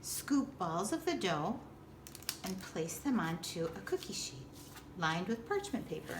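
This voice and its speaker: A woman giving step-by-step instructional narration in a deliberate way, with simple explanatory phrasing. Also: medium pitch, sing-song. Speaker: librarian giving a baking demonstration. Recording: distant roomy echo with background noise.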